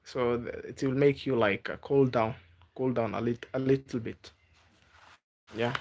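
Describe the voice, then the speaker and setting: A man from Africa, not a native English speaker, chatting live with viewers and giving gentle advice, halting and repeating words. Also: mid-range voice, fairly even intonation. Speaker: livestreamer. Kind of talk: casual live-stream chat with viewers.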